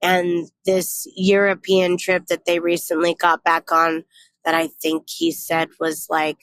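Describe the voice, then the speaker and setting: Young woman vlogging about a trip, lots of likes and restarts, kinda low voice, casual. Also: completely flat delivery, unhurried, a bit bright. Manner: chatty, reflective, many "like"s and restarts. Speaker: travel vlogger. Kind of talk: casual storytelling to camera.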